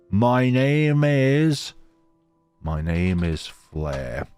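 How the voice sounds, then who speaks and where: A man's voice: low voice, a little mellow. A man hosting a gaming let's play, reading story text aloud dramatically and dropping in casual asides. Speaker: gaming channel host.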